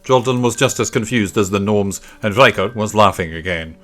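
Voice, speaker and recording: Guy reading a kids audiobook, fairly low voice, soft music in the background. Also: moderate pace. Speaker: narrator. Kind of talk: scripted children's story narration. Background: faint music.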